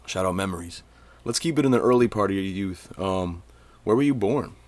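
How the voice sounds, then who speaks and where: Deep-voiced young podcast host. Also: male voice, lively intonation, a little fast, a little mellow. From Maine, USA. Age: 20s. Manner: slangy, loose, peppered with "like" and "yo". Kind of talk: casual interview chat.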